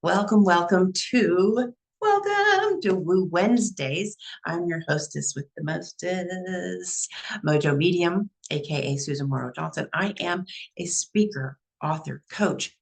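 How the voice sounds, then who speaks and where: A woman's voice: on the low side, animated, a little slow, gravelly. A woman with a Texan accent hosting a casual livestream as a psychic medium, chatty and narrating her own steps with easy laughs. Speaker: psychic medium and show host. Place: at home.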